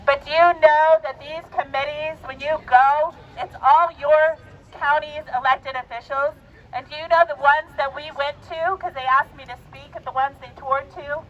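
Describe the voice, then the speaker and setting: A woman's voice: high-pitched, steady tone, normal speed, dull audio, slight background noise. Woman telling a story to a rally crowd. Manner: storytelling, rhetorical questions to the crowd. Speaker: rally speaker. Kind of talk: personal story told to a rally. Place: outdoor rally by a state capitol.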